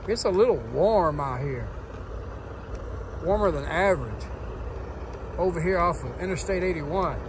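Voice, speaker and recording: A man with a somewhat high voice narrates a casual vlog as a trucker. His talk is folksy and colloquial, aimed straight at viewers. He is recorded outside on a handheld phone, with some vehicle rumble behind him. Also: animated, measured pace, pauses often. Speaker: truck driver vlogger. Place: outdoors on a roadside at night. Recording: clear outdoor vehicle noise behind voice. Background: rumbling truck engine.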